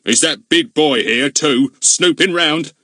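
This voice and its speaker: A man's voice: fairly high pitch, big pitch swings, unhurried, dry recording, studio quality, pitched above the performer's own voice, heavily acted. A man playing a burly, blustering village policeman. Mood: angry. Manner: loud, blustering, commanding.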